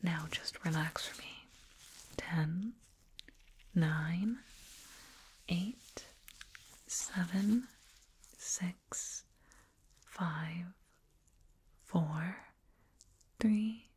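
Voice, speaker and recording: A woman playing a soothing, proud alien dentist in an ASMR roleplay, whispering gently and repetitively up close. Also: on the low side, extremely slow, pauses often. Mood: soothing, hypnotic. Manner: gentle, repetitive, reassuring murmur. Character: soothing, proud alien dentist with eerie delight in sharp teeth. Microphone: binaural mic, up close. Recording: close binaural mic, clear noise.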